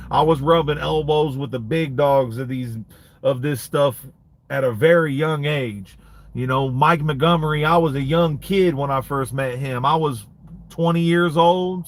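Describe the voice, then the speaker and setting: A man in his late thirties, a dog breeder hosting a casual livestream and answering viewer comments in laid-back street slang. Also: fairly high pitch. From United States. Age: 30s.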